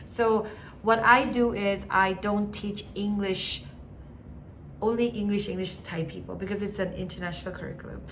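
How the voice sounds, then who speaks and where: A woman's voice: mid-range voice, steady tone, moderate pace, fairly bright tone, slight background noise, poor audio. A woman from Nagaland with a Naga accent, chatting casually about her teaching job and mixing in Hindi and other Indian languages. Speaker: teacher in an international school. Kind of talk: casual chat.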